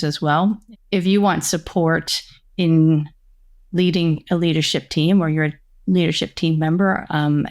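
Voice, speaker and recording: A woman hosting a podcast discussion, putting questions to guests and addressing listeners. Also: deep voice. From Canada. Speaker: podcast host.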